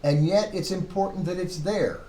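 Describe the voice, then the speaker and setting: A man's voice: high-pitched, moderate pace, dark tone. A man lecturing informally to a class in a conversational way, posing questions to himself and correcting his own phrasing as he goes. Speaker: seminary Hebrew lecturer. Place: classroom with students. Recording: roomy echo, clear background noise.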